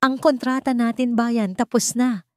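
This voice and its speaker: A woman's voice: on the high side, fairly even intonation, measured pace, dry recording, clean studio sound, voice pushed up, lightly acted. A woman voicing a devoted, determined woman in love in a romance story, with earnest emotion. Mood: firm, loving.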